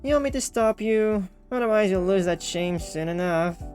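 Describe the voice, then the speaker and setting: A man's voice: high-pitched, lively intonation, moderate pace, a little mellow, faint music behind, voice pushed up. A man voicing an easygoing, detached woman bartender from a visual novel, casual and nonchalant.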